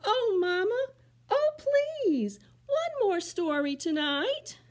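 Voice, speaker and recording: Woman doing squealing excitable little monkeys. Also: very high-pitched, slow pace, voice pushed up, exaggerated voice. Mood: pleading. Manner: squealing, cheering and wailing.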